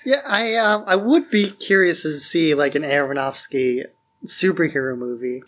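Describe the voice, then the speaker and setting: A man's voice: on the high side, normal speed, fairly bright tone. A man co-hosting a podcast, giving his opinions on films in an informal, conversational way. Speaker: podcast co-host. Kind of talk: casual film discussion podcast.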